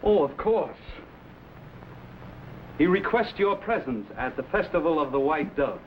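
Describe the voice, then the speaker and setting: Earnest, wonderstruck explorer, fairly high, very expressive delivery, formal manners, dull old-serial sound. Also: male voice, a little slow. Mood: politely hurried. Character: courteous, wonderstruck young Venetian explorer. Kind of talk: 1950s television adventure serial acting. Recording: muffled old broadcast with faint noise.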